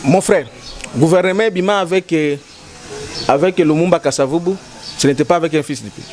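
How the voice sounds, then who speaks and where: A man's voice: a little high, a little fast, darkish tone. A man in a heated political debate, talking emphatically and repeating himself, mixing French with Lingala. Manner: emphatic, repetitive, switching between French and Lingala. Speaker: political commentator.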